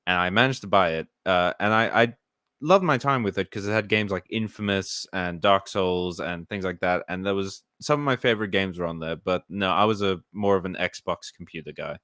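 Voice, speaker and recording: A man chatting casually on a gaming podcast, easygoing and quick to laugh. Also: deep voice, fairly bright tone, no room sound, studio quality. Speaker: podcast co-host. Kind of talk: casual chat.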